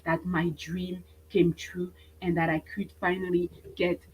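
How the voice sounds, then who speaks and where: A woman's voice: lowish voice, level tone, a little slow, muffled recording, a little hiss or hum. A thirty-year-old woman, a business coach giving a motivational webinar and repeating phrases for emphasis. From France.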